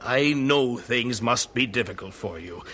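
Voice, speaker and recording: An actor playing an earnest, genial 19th-century American evangelist in an audio drama, with a folksy, persuasive way of talking. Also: male voice, medium pitch, measured pace, a little hiss or hum. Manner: animated, folksy storytelling.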